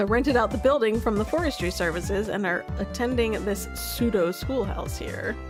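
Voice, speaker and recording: A woman's voice: a little high, moderate pace, music in the background, natural voice. A woman voicing a brash, easygoing tomboy teenage schoolgirl in a story game, with a casual drawl. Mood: explaining.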